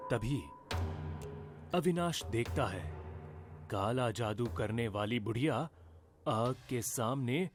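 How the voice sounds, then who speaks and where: A man's voice: medium pitch, lively intonation, takes it slowly, long pauses. A man narrating a scripted horror story as a dramatic storyteller. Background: music.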